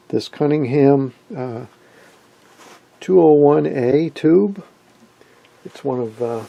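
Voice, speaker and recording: Middle-aged male hobbyist presenter, very warm and soft-edged with a gravelly creak, matter-of-fact workshop demo. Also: medium pitch. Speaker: vintage radio hobbyist presenter. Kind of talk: casual hands-on demonstration narration. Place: indoor workshop, off camera at a workbench.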